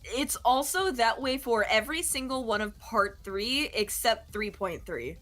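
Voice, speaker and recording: A woman's voice: a little high, animated, a little slow, fairly bright tone. A young adult woman chatting casually on a livestream. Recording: music behind the voice.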